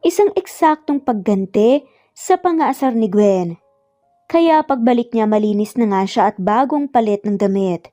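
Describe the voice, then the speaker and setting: A woman's voice: a little high, lively intonation, unhurried, warm tone, faint music behind. A woman with a Filipino accent narrating a scripted dramatic story.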